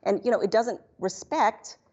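A middle-aged woman giving measured, explanatory news commentary on a radio show over a muffled, noisy link. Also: mid-range voice, darkish tone. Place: TV news studio, on video link. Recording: muffled, clearly noisy feed.

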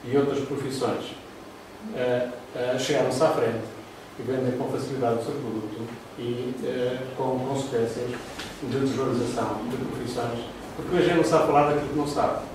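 An older man with a European Portuguese accent giving a formal lecture over slides, pausing often with fillers. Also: mid-range voice, steady tone, noisy background. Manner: talks through slides, hesitant fillers. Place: classroom with projector. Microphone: far room camera. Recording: roomy echo from far off.

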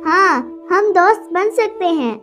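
A woman's voice: very high voice, expressive, unhurried, a bit bright, full character voice. A woman voicing a kind, chatty little female bird in a children's cartoon, with music playing behind. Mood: polite.

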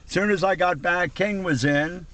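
A man's voice: high-pitched, normal speed, sharp tone. A man in his seventies chatting casually and ramblingly, with salty language, on a call from inside a car. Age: 70s. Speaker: vlogger on a road trip with old Marine friends. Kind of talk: casual chat on a conference call. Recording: noisy camcorder audio inside a car.

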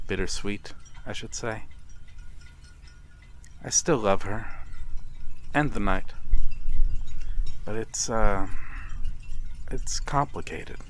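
A man playing a wistful, courteous vampire in a gentle, formal ASMR roleplay. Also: fairly low pitch, a little hiss or hum. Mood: wistful, reassuring. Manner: gentle, formal, halting with soft chuckles and sighs. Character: wistful, courteous old-world male vampire stranger by a harbour. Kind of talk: ASMR audio roleplay, slow intimate monologue.

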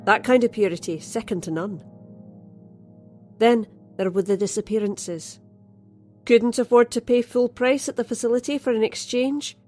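A woman's voice: fairly high pitch, normal speed, a little mellow. Woman dramatically narrating horror over music. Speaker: story narrator.

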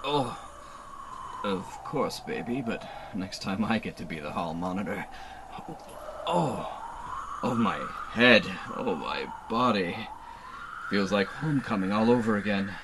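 A man's voice: fairly low pitch, lively intonation, a little slow, noisy background. A man playing a plucky, wisecracking human adventure hero in an old-time radio serial. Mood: groggy.

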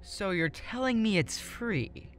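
An actor playing a naive, awkward, excitable teenage boy in a parody anime dub. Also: medium pitch, slow pace. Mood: awkward eagerness.

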